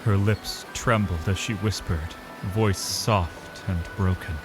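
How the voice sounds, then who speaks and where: A man giving solemn, dramatic scripted narration, grave and measured, pausing between short phrases. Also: deep voice, fairly even intonation, low-quality recording. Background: cinematic music.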